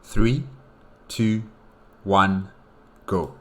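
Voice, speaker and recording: A man's voice: deep voice, drawn-out words, fairly bright tone. A man hosting a casual solo reaction, explaining things in a hesitant, conversational way, with faint music behind. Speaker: reaction host.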